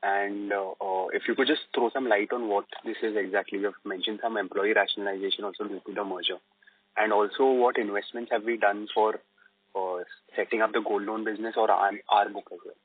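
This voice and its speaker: A man's voice: lowish voice, steady tone, a little fast. A man speaking as an analyst, putting questions to company management on an earnings call. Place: conference call. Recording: roomy, distant phone line.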